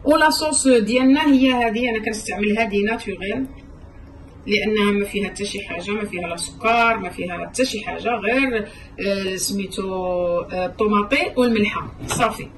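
Quick woman with a Moroccan accent, distant mic. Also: mid-range voice. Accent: Moroccan Arabic. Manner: friendly, talks straight to the viewers. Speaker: cooking vlogger. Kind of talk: casual cooking explanation. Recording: distant mic with faint room noise.

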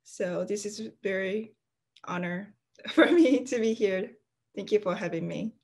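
A woman's voice: medium pitch, measured pace, darkish tone. A young woman from Okinawa with a Japanese accent, speaking English on a video call as an interview guest, thoughtful and hesitant with many fillers. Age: young adult. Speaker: guest, graduate student. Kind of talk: casual interview talk. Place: apartment room, indoors.

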